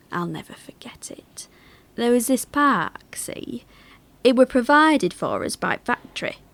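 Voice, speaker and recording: A woman's voice: mid-range voice, fairly bright tone. A woman playing an older working-class factory worker in a confiding, wry monologue of fond reminiscence. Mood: fond, amused. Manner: chatty, confiding, wry, with dialect turns. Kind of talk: theatrical monologue, conversational reminiscence.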